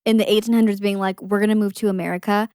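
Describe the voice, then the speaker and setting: A woman's voice: mid-range voice, flat delivery, racing, a little mellow, smooth, dry recording, studio quality. A young woman co-hosting a podcast, reacting and joking in a casual chat. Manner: reactive, interjects and jokes, laughs easily. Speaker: podcast co-host listening.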